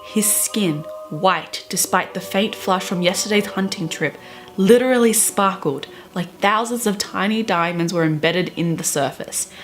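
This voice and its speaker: A young adult woman with a theatrical, expressive way of talking, doing dramatic readings and chatty commentary to camera in a bedroom. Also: mid-range voice, a little slow, faint music behind. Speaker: book vlogger. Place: small bedroom, indoors.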